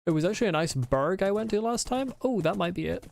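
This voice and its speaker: A man's voice: high voice, animated, quick pace, creaky, a little mellow, a little hiss or hum. A man with a mild Scottish accent, chatting casually while streaming a game, rambling and swearing bluntly as he rants. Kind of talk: casual live-stream chat while gaming.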